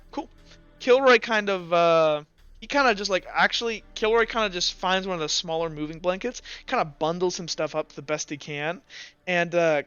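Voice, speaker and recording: A man's voice: a little high, a bit bright, smooth, no room sound, muffled recording. A man as game master, narrating a tabletop game in a chatty, informal, loosely improvised way.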